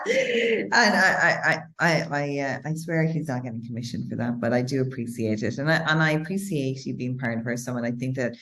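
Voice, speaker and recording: A woman with an Irish accent interviewing a guest over a video call, chatty and quick to repeat herself. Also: rumbling low. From Ireland. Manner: chatty, repeats words when excited.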